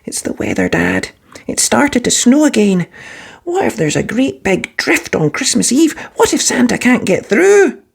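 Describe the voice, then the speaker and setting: A man voicing an anxious little penguin boy in a children's storybook, pleading and breathy, often half-whispered. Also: wildly swooping pitch, unhurried, heavily acted. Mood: worried. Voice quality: high, breathy and worried.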